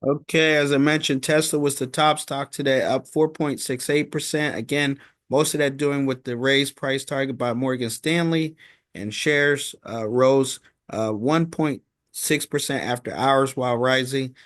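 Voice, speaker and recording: A man's voice: medium pitch, steady tone, fairly bright tone, dry recording. Man giving a dry-sounding informal market recap. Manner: halting and repetitive, punctuating points with "okay?". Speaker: host of a stock market recap. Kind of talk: informal stock market commentary.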